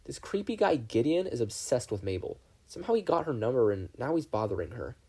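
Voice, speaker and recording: A man voicing a protective, worried preteen boy, earnest and gently coaxing, in a story reading. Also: medium pitch, big pitch swings, fairly quick, husky. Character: protective, worried twelve-year-old twin brother.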